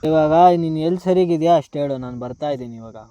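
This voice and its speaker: A man's voice: a little high, fast, warm tone, smooth, no room sound. A man, recorded close and dry. He presses with confrontational questions on a phone call. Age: 20s. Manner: confrontational, interrogating. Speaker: prank caller posing as an angry boyfriend.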